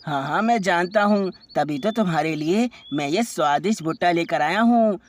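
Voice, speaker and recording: A woman voicing a clever, helpful male insect in a children's animated story. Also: fairly low pitch, moderate pace, slight background noise, natural voice. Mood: pleased.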